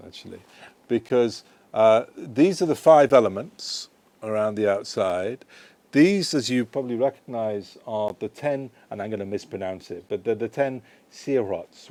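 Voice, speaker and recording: A man's voice: on the low side, darkish tone. A man, an author, answering audience questions at a book launch in a shop, talking in a rambling, conversational way full of "you know" and "right?". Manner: digressive, self-correcting. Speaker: author presenting his book.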